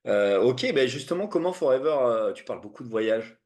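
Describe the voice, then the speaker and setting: A man hosting an interview, asking questions in a relaxed, hesitant way with frequent fillers. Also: mid-range voice, moderate pace. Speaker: interview host. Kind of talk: conversational interviewing.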